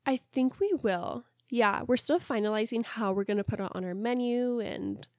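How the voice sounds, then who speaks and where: A young woman with a stuffy, congested voice, co-hosting a podcast in relaxed, rambling chat. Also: on the high side, normal speed, no echo, studio quality. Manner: chatty, relaxed, rambling with fillers. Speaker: podcast co-host, esthetician. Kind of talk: casual chat.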